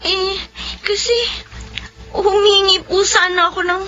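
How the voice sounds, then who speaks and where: A woman's voice: extremely high pitch, little pitch movement, a little slow, bright, forceful tone, exaggerated voice. A woman playing an emotional, defensive teenage single mother in a Filipino radio drama, speaking with a Filipino accent. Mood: tearful, defensive. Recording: muffled radio drama with music behind.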